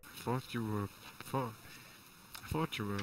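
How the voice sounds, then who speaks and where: A man from Sweden joining a stream chat, speaking quietly and hesitantly in short replies. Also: mid-range voice, slow. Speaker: stream guest. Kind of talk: casual chat on a live stream. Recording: faint distant voice with light hiss.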